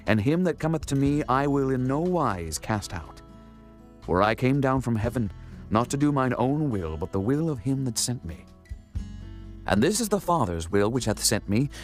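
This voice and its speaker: A man acts as a calm spiritual teacher in a scripture drama. His pitch is medium, his delivery measured, solemn and full of authority. Soft music plays behind him. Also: lightly acted. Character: Jesus, a calm, authoritative adult male teacher.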